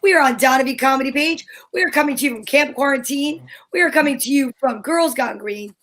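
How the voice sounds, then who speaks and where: High, bright-voiced playful woman co-host. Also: a little fast. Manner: playful, announcing viewers' comments. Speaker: co-host of a live comedy show. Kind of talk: casual live-stream announcing and banter.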